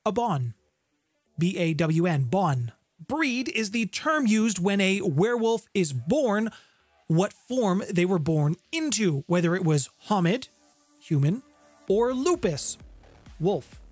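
A middle-aged man hosting a scripted explainer in a theatrical, emphatic way with dramatic pauses, close on a studio mic. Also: high voice, sing-song, darkish tone, no room sound. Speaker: host of a tabletop gaming channel. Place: small home room with guitars on the walls. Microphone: close studio condenser mic with pop filter. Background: background music.

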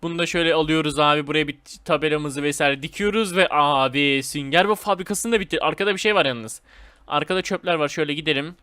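A man hosting a gaming video with casual, excited commentary, speaking in slangy, repetitive phrases and addressing viewers as friends. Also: a little high, clear, resonant tone, no room sound.

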